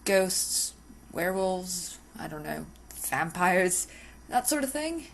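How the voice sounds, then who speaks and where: A woman's voice: fairly low pitch, sing-song, takes it slowly, gravelly, heavily acted. A woman voicing an obsessive, possessive but politely spoken female vampire lab technician in an ASMR roleplay, with teasing, hesitant asides. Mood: coy, persuasive. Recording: faint background noise.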